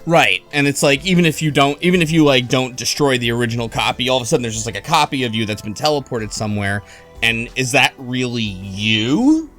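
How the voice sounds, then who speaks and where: Animated man stammering through an idea. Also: mid-range voice, brisk, soft background music. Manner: animated, stammers and restarts often. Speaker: podcast host. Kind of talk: casual chat.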